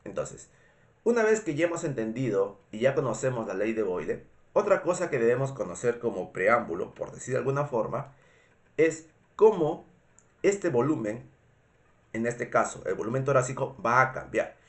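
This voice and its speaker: Male medical instructor, Peruvian accent, mid-pitched congested voice, informal and didactic, often checks understanding. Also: very animated, moderate pace. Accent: Peruvian Spanish. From Peru. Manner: didactic, repetitive, frequent checking questions. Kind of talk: informal explanatory lecture.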